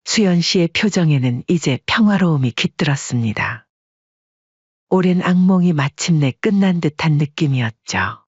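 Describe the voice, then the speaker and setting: Measured woman storyteller, husky voice, dry muffled read. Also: low voice, animated, a little mellow, dry recording. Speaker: narrator. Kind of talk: scripted storytelling narration.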